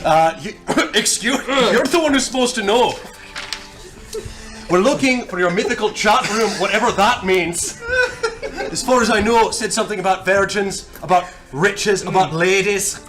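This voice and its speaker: A man's voice: sits high, moderate pace, lightly acted, poor audio. A man at a tabletop game voicing a pompous, vain, easily indignant adventuring lord. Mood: grandiose. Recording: music bed behind the voice.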